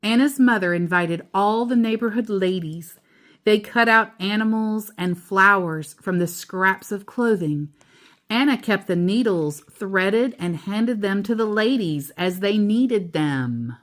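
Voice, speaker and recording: Slow-paced woman reading a storybook aloud. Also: mid-range voice. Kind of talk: children's read-aloud with casual asides.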